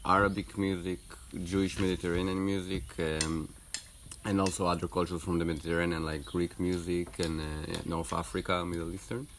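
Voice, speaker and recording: A man's voice: deep voice, level tone, brisk, a bit bright, a little hiss or hum. A man with an Israeli accent, a musician explaining things a little hesitantly in a casual interview. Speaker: band musician being interviewed. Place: outdoors under a tree.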